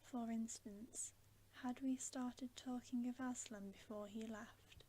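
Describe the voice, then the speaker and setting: A woman's voice: a little high, fairly even intonation, slow, dark tone, a little hiss or hum. A woman voicing a shrewd, motherly female talking beaver, reasoning carefully in a whispered bedtime story reading. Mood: careful, concerned.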